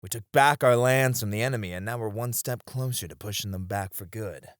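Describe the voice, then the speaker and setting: A man's voice: on the low side, a little fast, dry recording, studio quality, lightly acted. A man playing a seasoned knight, a wistful, teasing sparring comrade in a romantic roleplay, with reflective, hesitant phrasing. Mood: earnest, gentle. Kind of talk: romantic ASMR roleplay acting.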